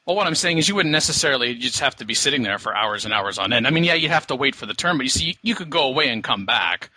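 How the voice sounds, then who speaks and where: Bright-voiced man hosting a podcast. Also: medium pitch, expressive, fast talker, low-quality recording. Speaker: podcast host. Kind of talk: casual podcast chat.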